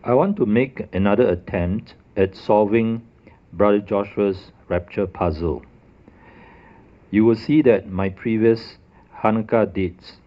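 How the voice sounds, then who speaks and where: A man's voice: on the low side, lo-fi sound. A man narrating a methodical, scripted explanation of dates and a calendar puzzle. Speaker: religious video presenter.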